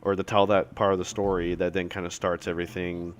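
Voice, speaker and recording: Deep-voiced man, cold-roughened raspy voice, rambling update talk, faint music behind. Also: little pitch movement, fast talker. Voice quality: rambling, many fillers and restarts. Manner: apologetic, appreciative. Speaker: self-published author giving updates. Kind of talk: casual update video.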